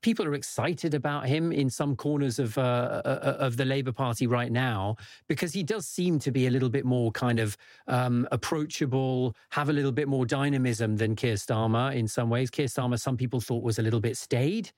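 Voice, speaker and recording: Measured man explaining politics. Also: medium pitch, darkish tone, no echo, clean studio sound. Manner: measured, careful, some fillers. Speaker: news podcast guest explaining politics. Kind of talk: explanatory conversation.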